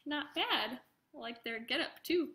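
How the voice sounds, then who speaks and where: A woman reading her own picture book aloud to children in an expressive way, with casual chatty asides. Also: high voice, a little slow. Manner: expressive, addresses young listeners directly; casual, informal commentary between passages.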